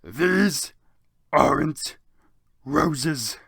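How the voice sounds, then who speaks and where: A slow, gravelly goth wizard, angry and brooding. He declaims melodramatically in a strained, husky voice with a forceful, sharp edge. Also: a little high, expressive, no echo, studio quality, voice pushed up, exaggerated voice. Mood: indignant.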